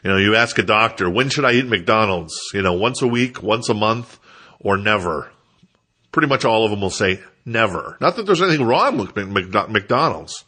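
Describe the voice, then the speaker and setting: A man's voice: lowish voice, animated, normal speed, pushed and bright, breathy edge. A stuffed-up man, rambling casually and self-deprecatingly as he hosts a solo podcast. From Minnesota, United States. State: stuffed up, congested. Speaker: podcast host.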